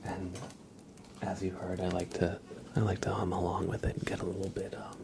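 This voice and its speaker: A man hosting an ASMR livestream, chatting to viewers gently in a soft, breathy whisper that slips into a murmur. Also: monotone, brisk, heavy background noise. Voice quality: breathy whisper mixed with low, creaky murmur.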